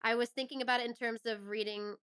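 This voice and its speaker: A woman's voice: a little high, fairly even intonation, fast, a bit bright, clear, resonant tone. A woman speaking as an interview guest in a casual, enthusiastic and reflective conversational style. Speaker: interview guest, voice actress and performer.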